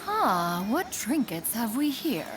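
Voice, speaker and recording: A woman's voice: on the high side, very animated, takes it slowly. An actress playing a spirited, refined young female inventor in a video game, with formal, precise, old-fashioned diction. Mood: curious. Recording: loud music over the voice. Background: loud game music.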